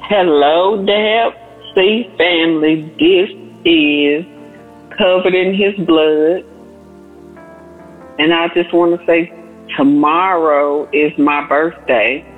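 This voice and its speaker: A man in his forties calling in to ask for prayer, speaking slowly and earnestly. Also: a little high, steady tone, faint music behind. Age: 40s. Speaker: caller. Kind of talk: phoned-in prayer request. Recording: phone line.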